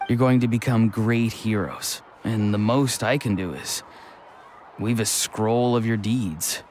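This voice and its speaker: A man's voice: fairly low pitch, unhurried, a little mellow, slight background noise, natural voice. A man voicing an earnest, restless young villager with a casual, frank manner in an anime-style video game. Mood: candid. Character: restless, earnest young male villager, mild reformed troublemaker. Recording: faint background ambience.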